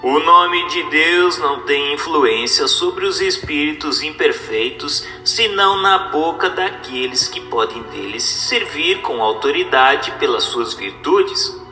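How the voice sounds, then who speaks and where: Brazilian male narrator, slightly high and bright, for formal scripted religious audiobook reading with music underneath. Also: animated, rough recording. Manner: formal, measured, didactic. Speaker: narrator reading a book aloud.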